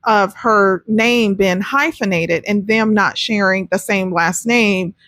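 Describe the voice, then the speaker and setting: A woman hosts a news commentary show in a casual, direct-to-audience way. Her pitch sits in the middle for a woman. The sound is dry, with very little room on it. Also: slow, fairly bright tone, dry recording. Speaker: host of a true crime commentary show.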